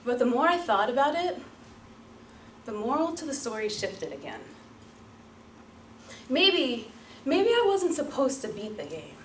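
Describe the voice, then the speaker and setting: High, animated woman storyteller in echoey room. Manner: theatrical, emphatic, with deliberate repetition and pauses. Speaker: public speaker at a speaking club. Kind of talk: rehearsed dramatic storytelling speech to an audience. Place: room before an audience. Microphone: distant microphone. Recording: roomy, distant mic, light background noise.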